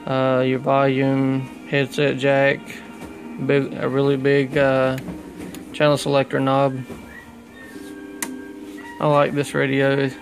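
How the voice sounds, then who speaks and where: Flat-voiced man, slow and gravelly. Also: mid-range voice, music in the background. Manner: halting, plain-spoken. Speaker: radio hobbyist showing his equipment. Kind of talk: casual unscripted gear review. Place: small indoor room at home.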